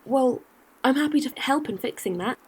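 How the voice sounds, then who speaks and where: A woman's voice: high voice, lively intonation, normal speed, slightly rough. A woman playing a friendly, practical alien courier in a science-fiction audio drama, easygoing and matter-of-fact. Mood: polite, businesslike.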